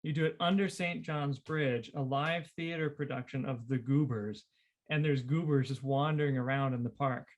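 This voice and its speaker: A man talking casually as part of a podcast panel, pitching ideas in everyday conversational language. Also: steady tone, moderate pace, dull audio. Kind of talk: casual chat.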